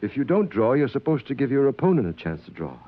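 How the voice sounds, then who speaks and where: A man's voice: medium pitch, very animated, fast talker. An actor playing a stern, suspicious starship captain in a 1950s radio drama, curt and commanding as he interrogates a subordinate. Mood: stern, probing. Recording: dull vintage radio recording.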